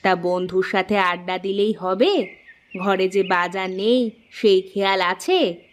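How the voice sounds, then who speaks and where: A woman's voice: medium pitch, steady tone, slow, close to an everyday voice. A woman playing a practical, nagging village wife and mother in a cartoon story, with a questioning, mildly scolding manner. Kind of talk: cartoon moral-story dialogue.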